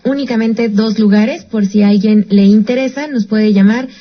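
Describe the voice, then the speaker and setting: A woman co-hosts calmly and politely, with a voice a little higher than most. The radio sound is slightly dull. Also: normal speed. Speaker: radio co-host. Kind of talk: casual radio chat and announcements. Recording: slightly muffled radio broadcast.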